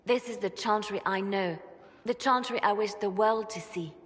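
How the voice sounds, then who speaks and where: A devout reformist churchwoman from a fantasy game , acted by a woman. She speaks with gentle, earnest conviction, like a measured orator with impassioned ideals. Her voice rings with long hall reverberation. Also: mid-range voice, close to an everyday voice. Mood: wistful, devout. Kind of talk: dramatic video game dialogue.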